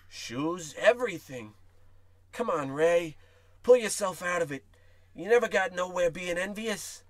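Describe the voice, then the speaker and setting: A man playing a folksy, self-pitying, envious small-town shopkeeper in a comic radio play. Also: a little high. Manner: folksy, eager, whiny.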